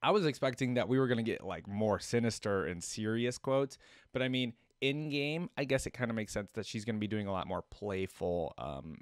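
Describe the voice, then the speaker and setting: A man's voice: lowish voice, a little fast, no echo, clean studio sound. A man in his twenties giving casual reaction commentary as the host of a video.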